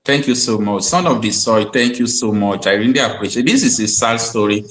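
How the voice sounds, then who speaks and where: A man's voice: lowish voice, fairly quick, fairly bright tone. A man hosting an online call-in chat, speaking earnestly and often repeating himself. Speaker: online show host.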